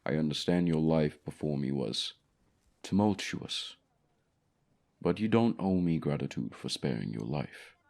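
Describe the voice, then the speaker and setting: A man voicing an ancient, lonely, haughty male dragon in a fantasy audio roleplay, speaking in a formal, measured, courteous way. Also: a bass voice, animated, creaky, darkish tone, heavily acted. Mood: gently reassuring.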